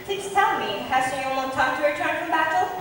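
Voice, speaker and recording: A high-voiced, mocking young noblewoman in a stage comedy, acted by a woman. She declaims with witty scorn. The sound is very echoey and distant, from a big hall. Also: animated, a little fast, a bit bright, a little hiss or hum. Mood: teasing. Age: young adult. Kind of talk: amateur stage acting of modernised Shakespeare comedy. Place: large hall, distant microphone at a stage play. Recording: very echoey hall, recorded from far off.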